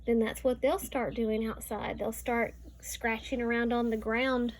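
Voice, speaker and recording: A woman teacher reading a picture book aloud to young students, slowly and deliberately, stretching words and adding teaching asides. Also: on the high side, little pitch movement, a little hiss or hum.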